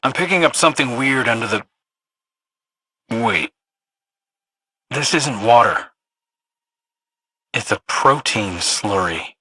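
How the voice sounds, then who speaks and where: A man's voice: mid-range voice, expressive, takes it slowly, pauses often, sharp tone, slightly rough, heavily acted. An actor playing a wry, alert male scouting companion in a video game, speaking expressively and matter-of-factly. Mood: alert, analytical. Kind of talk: scripted video game character dialogue.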